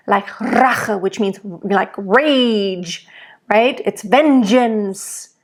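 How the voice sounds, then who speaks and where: A woman from Germany, a vocal coach explaining diction in a slow, deliberate lesson. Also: a little high.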